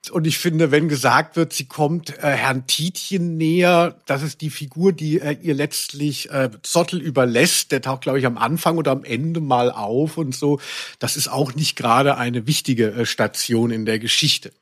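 A man's voice: mid-range voice, moderate pace, fairly bright tone. A man co-hosting a podcast, chatting casually in a wordy, tongue-in-cheek way. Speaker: podcast co-host. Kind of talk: casual, chatty media discussion.

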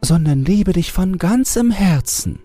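Man doing an airy high-pitched wheedling princess. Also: animated, a little slow, mellow, no echo, faint music behind. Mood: sweetly reproachful. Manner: sweet, pleading, wheedling. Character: coaxing, falsely affectionate young fairy-tale princess. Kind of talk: fairy-tale character voice.

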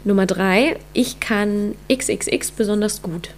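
A woman hosting a podcast, reading an intro and then chatting with a guest, her voice hoarse from a cold. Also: mid-range voice, measured pace, a little hiss or hum. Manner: upbeat, with many fillers. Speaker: podcast host. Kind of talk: interview podcast, scripted intro then chat.

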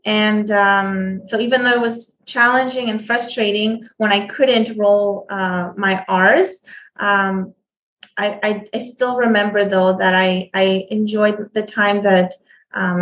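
A woman guest telling her story in an interview, speaking haltingly with many fillers and restarts. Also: mid-range voice, fairly even intonation, a bit bright, reverberant, a little hiss or hum, poor audio. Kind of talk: conversational interview.

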